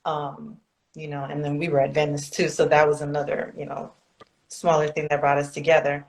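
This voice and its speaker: A woman's voice: deep voice, flat delivery, a little fast. A woman from South Florida co-hosting a casual podcast, speaking thoughtfully and laughing easily. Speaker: podcast co-host.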